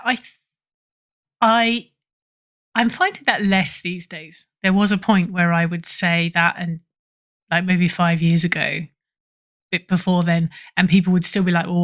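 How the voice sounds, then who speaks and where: A woman's voice: lowish voice, fairly bright tone. A middle-aged woman with a British accent, chatting casually as an interview guest and often rephrasing mid-sentence. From United Kingdom. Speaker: podcast guest, fiction editor.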